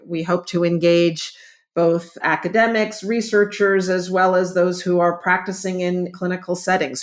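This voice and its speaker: A woman with a slightly low voice hosts a professional podcast. She speaks articulately and in measured phrases, in the manner of an editor summing up a discussion. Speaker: podcast host, journal editor. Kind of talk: interview hosting.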